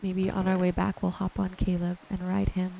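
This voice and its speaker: A woman narrating her gameplay in an ASMR style, with a gentle, soothing manner. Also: lowish voice, monotone, vocal fry, slight background noise. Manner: gentle, unhurried, soothing narration of own actions. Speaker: host of a gaming channel. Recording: music behind the voice. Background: music.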